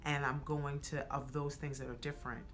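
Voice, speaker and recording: An American woman talking casually and with emphasis, often acting out quoted speech and rising to animated peaks. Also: deep voice, fairly quick, faint music behind. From United States. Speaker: podcast co-host. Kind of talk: casual conversation.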